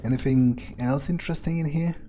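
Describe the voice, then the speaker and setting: A man's voice: medium pitch, fairly quick, dark tone, soft background music. A man giving in-character commentary on a game playthrough, musing casually and swearing freely as he goes. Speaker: gamer role-playing his character aloud.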